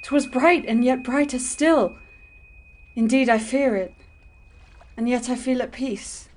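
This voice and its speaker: A woman's voice: on the high side, takes it slowly. A woman playing Edwin, a devout, visionary young man, speaking in earnest, old-fashioned dialogue for a drama. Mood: awed.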